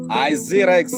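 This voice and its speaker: Kenyan man, Kenyan accent, high voice, slow drawn-out greetings, loud music behind. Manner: drawn-out, playful, breaks into laughs and high whoops. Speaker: musician streaming live. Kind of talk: live stream shout-outs to viewers. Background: loud traditional string music with rattles.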